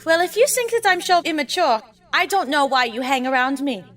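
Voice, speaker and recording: A woman's voice: high-pitched, animated, a little fast, fairly bright tone, far from the mic, soft background music. A woman playing a stubborn, indignant eighteen-year-old girl adventurer in a tabletop game, arguing sarcastically. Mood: hurt, defiant. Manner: argumentative, sarcastic.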